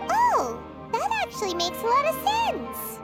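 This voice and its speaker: A woman's voice: very high voice, huge pitch swings, a little slow, heavily acted. A woman voicing a tiny, cheerful childlike fairy companion in a video game, speaking in an exclamatory way. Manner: talks about self in third person, exclamatory. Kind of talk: video game character acting. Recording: game music behind.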